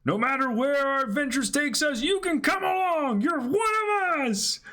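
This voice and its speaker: A man voicing an excitable young pirate crewmate in a shrill, exclamatory way for a manga reading. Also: moderate pace, a little hiss or hum, heavily acted. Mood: tearful, earnest.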